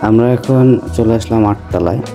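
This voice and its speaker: A man's voice: lowish voice, moderate pace, music in the background. A man in his thirties with a Bangladeshi accent, a travel vlogger narrating a hotel review and explaining things to viewers.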